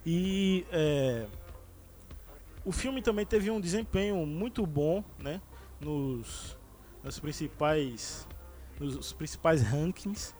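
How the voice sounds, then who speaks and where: A man's voice: a little high, expressive, takes it slowly, a little mellow. Hesitant man hosting a podcast. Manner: hesitant, many fillers and restarts. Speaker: podcast host. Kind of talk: casual movie chat.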